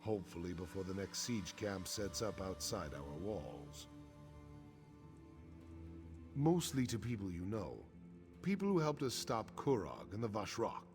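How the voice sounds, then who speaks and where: An actor playing a grave, honorable middle-aged orc warrior chief in a video game, speaking in a solemn, measured, frank way. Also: male voice, low-pitched, sing-song, a little mellow, exaggerated voice. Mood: reflective, then matter-of-fact. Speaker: quest-giving game character. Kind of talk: scripted video game dialogue. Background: game music.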